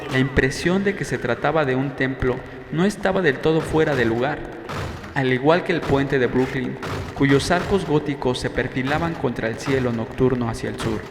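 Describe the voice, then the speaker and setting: A man's voice: medium pitch, normal speed. A man narrating a novel in a scripted audiobook style, with a reverberant sound and faint noise behind. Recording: echoey, long reverb, faint background noise.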